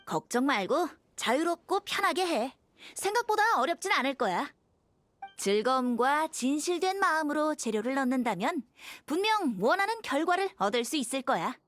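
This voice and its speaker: A playful young male bard from an anime game. The voice is high, boyish and breezy, with a lyrical turn, set over clear background music. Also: sing-song, moderate pace, dry recording. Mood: encouraging. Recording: music behind the voice.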